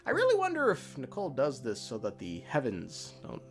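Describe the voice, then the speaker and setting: Animated, irreverent man narrating a recap. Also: mid-range voice, fairly quick. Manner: irreverent, sweary, slangy. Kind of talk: comedic lore recap narration. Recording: music behind narration.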